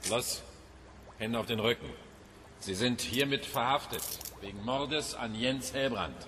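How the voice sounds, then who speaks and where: An actor playing a mocking, domineering police inspector with a sinister edge in a radio drama, taunting and commanding. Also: male voice, mid-range voice, unhurried, sharp tone, slight background noise. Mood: mocking, menacing.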